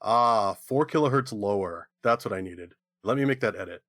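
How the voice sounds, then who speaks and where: A man's voice: on the low side, moderate pace, background noise, natural voice. A man playing a harried half-banana gnome audio editor who makes puns in a comedy audio drama. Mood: hapless, punning.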